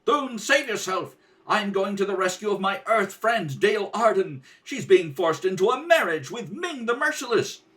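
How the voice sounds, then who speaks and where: A man's voice: high-pitched, lively intonation, moderate pace, fairly bright tone, recorded from a distance, pitched above the performer's own voice. A man playing a bold young hero in an old-time radio adventure serial, earnest and urgent. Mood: heroic alarm. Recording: roomy home recording, off the mic.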